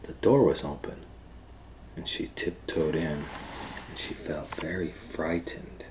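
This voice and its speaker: A man reading a children's story aloud as host, dramatically, moving between hushed and loud. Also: very deep voice, slow. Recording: faint background noise.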